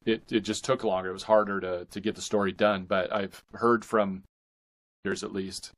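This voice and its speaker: Low-voiced man talking earnestly. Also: little pitch movement, moderate pace. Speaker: guest, indie fiction author. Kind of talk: casual interview conversation.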